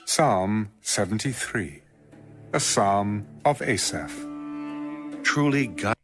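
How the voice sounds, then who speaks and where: Slow, low male scripture narrator over music. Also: lively intonation, a bit bright. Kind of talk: solemn scripture reading, audio Bible narration.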